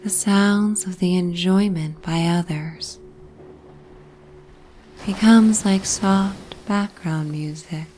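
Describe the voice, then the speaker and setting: A woman narrating a bedtime sleep story in a soft, breathy, half-whispered, soothing ASMR manner. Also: lowish voice, slow, pauses often, a little hiss or hum.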